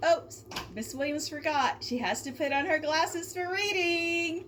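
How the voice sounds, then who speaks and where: Woman teacher doing storytime for little kids, highish voice, super expressive, bit of background noise. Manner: expressive, addresses young listeners with questions and drawn-out emphasis. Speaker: elementary school teacher reading aloud. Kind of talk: children's storybook read-aloud.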